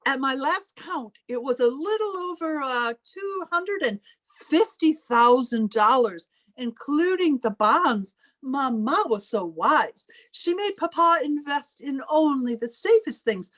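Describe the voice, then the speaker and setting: A woman's voice: high-pitched, animated, measured pace, a bit bright. A woman playing a bitter, controlling older sister in old time radio melodrama, theatrical and imperious in her delivery. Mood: pleased, gloating.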